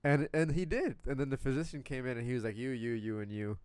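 A man's voice: mid-range voice, quick pace, clear, resonant tone, no room sound. A young man from Puerto Rico, co-hosting a podcast and telling stories casually in blunt language full of swearing. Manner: blunt, profane, animated retelling with quoted asides. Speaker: podcast co-host. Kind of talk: casual chat, storytelling.

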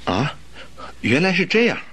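An actor playing an anxious, loyal friend of a sick detective in a radio drama, speaking earnestly and fretfully. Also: male voice, fairly high pitch, very animated, moderate pace, a bit bright, husky, heavily acted. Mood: concerned.

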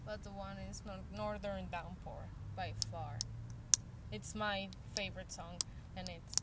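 Mid-pitched woman, casual podcast guest, informal delivery, loud ambient noise. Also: fairly even intonation, measured pace. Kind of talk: casual chat between friends.

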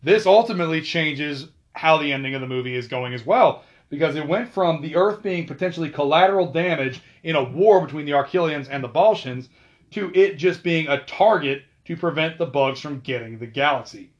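A man casually talking through film trivia, chatty, with asides and quick self-corrections. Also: fairly high pitch, lo-fi sound. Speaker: video host.

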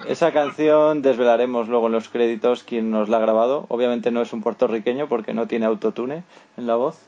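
A man's voice: mid-range voice, steady tone, fairly quick, warm tone, highs cut off, slight background noise. A man with a Spanish accent hosting an unscripted panel interview on a podcast. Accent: Spanish (Spain). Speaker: podcast host.

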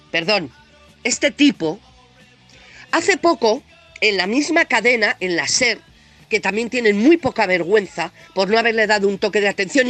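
Female opinion presenter, Castilian accent, mid-pitched forceful voice with a head cold; blunt, sarcastic, outraged monologue delivery. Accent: Castilian Spanish. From Spain. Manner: indignant, sarcastic, blunt, emphatic. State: has a heavy cold. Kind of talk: scathing political opinion monologue.

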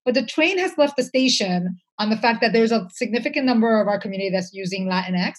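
A woman's voice: fairly high pitch, lively intonation, fast talker, breathy edge. A woman speaking as an interview guest, conversational, reflective and earnest, with emphasis on her points.

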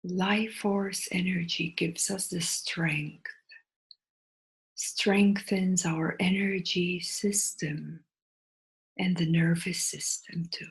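A woman leading a guided meditation in a soft, soothing, breathy voice that often drops toward a whisper, with long pauses between short phrases. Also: lowish voice, fairly even intonation, rough recording.

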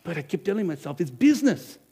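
A man playing a businesslike village innkeeper in an animated first-person monologue, emphatic and speaking straight to the audience. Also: sits high, fast talker, slightly rough, exaggerated voice. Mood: guilty. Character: businesslike male village innkeeper, proud then guilty.

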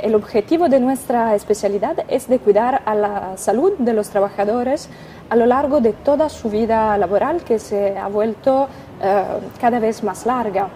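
A young woman with an Italian accent, a physician speaking as a radio interview guest, careful and halting, with many filler sounds. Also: medium pitch, mellow, a little hiss or hum. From Italy.

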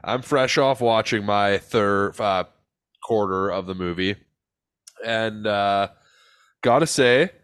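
A man's voice: lowish voice, slow, long pauses, fairly bright tone, clean tone, no echo, clean studio sound. A congested man hosting a podcast. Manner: laid-back, swearing, frequent laughs and fillers. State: stuffed up, congested. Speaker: podcast host. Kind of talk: casual chat.

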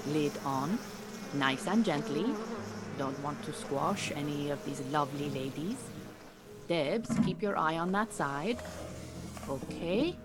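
A woman playing a calm, capable Iranian beekeeping teacher in a naturalistic audio drama, giving measured, reassuring instruction. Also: low-pitched. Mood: calm, instructing. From Iran.

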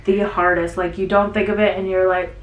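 A woman's voice: mid-range voice, a little fast. Chatty stuffy-nosed woman, roomy sound. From central Wisconsin. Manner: chatty, rambling, animated. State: stuffy nose. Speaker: podcast co-host. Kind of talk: casual chat. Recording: roomy sound, mic at a distance.

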